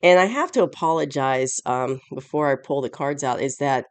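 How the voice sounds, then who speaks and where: A tarot reader talks through a weekly spread in a loose, conversational way. The voice is raspy and hoarse, thick with a head cold. Thoughts trail off and restart, often with a small chuckle. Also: mid-range voice, moderate pace. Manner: rambling, searching for words with many restarts; chuckles often while explaining. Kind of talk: casual weekly tarot reading.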